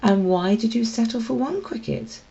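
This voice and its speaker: A woman's voice: a little high, expressive, normal speed, creaky, warm tone, dull audio, pitched above the performer's own voice. A woman voicing a stern, wise old male cat who teaches emphatically, in a children's storybook reading. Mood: firm, teaching. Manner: emphatic, instructive. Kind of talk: children's storybook read aloud.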